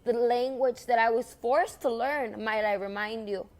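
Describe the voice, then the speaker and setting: A woman's voice: fairly high pitch, measured pace, a little mellow. A young woman whose first language is Spanish, speaking in an impassioned personal monologue, earnest and repetitive with emphatic stresses.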